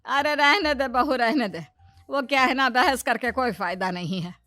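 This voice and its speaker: A woman voicing a bossy, vain middle-aged mother-in-law in a children's cartoon story, with a sharp, boastful manner. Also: high voice, moderate pace, no echo. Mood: brisk.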